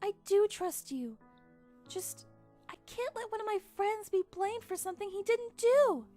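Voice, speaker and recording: Sweet young pony princess, tiny high voice, earnest, gentle, music underneath. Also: female voice, animated, measured pace. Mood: pleading. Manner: soft-hearted, earnest.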